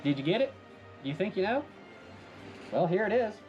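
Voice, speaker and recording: An enthusiastic man with a slightly high voice narrates a hobby crafting video. He explains things casually and conversationally, like a host talking to viewers, with music playing behind him. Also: very animated, a little fast, darkish tone, dull audio. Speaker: host of a hobby prop-making channel.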